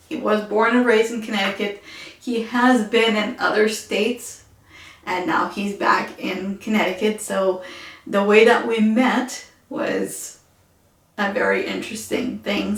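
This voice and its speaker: A woman with a Ukrainian accent, talking casually and softly in a personal vlog, pausing often with fillers. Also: medium pitch, echoey room. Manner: soft-spoken, halting with fillers. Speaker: vlogger.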